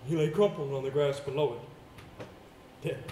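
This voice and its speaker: A man acting in an amateur stage play as an earnest actor, speaking in a theatrical, measured way with dramatic pauses. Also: on the high side, fairly even intonation, mellow, lightly acted. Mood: grave, haunted. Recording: echoey stage recording, faint noise.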